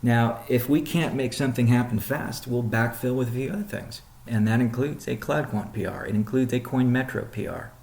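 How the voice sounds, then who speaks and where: A man leading an informal business update call as a chief executive, explaining things in a measured way with pauses mid-sentence. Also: mid-range voice, gravelly, a little mellow. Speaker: company CEO hosting a weekly update call. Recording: roomy, echoing sound.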